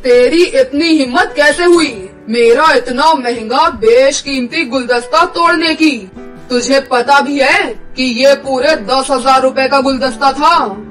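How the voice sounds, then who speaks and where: Man acting a high-pitched, scolding rich village boss. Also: unhurried, fairly bright tone, far from the mic, soft background music, rough recording. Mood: furious. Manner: scolding, demanding, imperious. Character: angry, stingy rich village employer, harsh man. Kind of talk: Hindi animated moral story acting.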